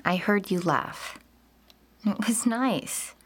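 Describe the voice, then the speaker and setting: A woman playing an anxious, weary suburban mother in a naturalistic audio drama, talking in a conversational, often hushed way with an exasperated edge. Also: mid-range voice, slow pace, husky. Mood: awkward, gentle.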